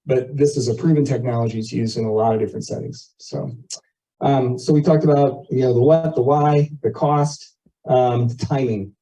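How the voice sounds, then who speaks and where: A man's voice: medium pitch, steady tone, normal speed, dark tone. Muffled man explaining things at a meeting. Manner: folksy, explanatory, uses plain comparisons. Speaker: community board member presenting at a meeting. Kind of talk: informal explanatory presentation to neighbours, with questions. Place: neighbourhood meeting, relayed over video call. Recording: muffled, distant microphone, meeting audio.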